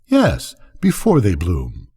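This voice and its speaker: An eager farm woman from a bedtime story, acted out by an older man. The delivery swings widely in pitch and has a soft, husky grain. The character is intense and passionate about growing things. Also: wildly swooping pitch, takes it slowly, full character voice. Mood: earnest, instructing.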